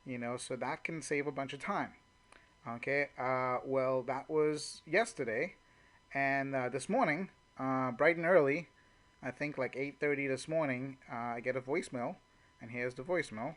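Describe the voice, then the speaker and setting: A man's voice: mid-range voice, normal speed, a bit bright. A man working as a network marketing trainer, talking informally and off the cuff as he walks through call examples, often saying "you know". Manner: conversational, unscripted, frequent "you know".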